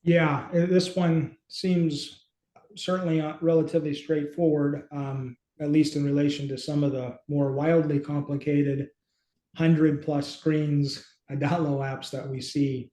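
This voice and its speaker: A middle-aged man with a fairly high, mellow voice talks through a screen share in a casual way. He thinks aloud and often halts mid-sentence. The sound is roomy, as from a video call in a small room. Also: level tone, unhurried. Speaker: host and app company team member. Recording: roomy, echoey home room.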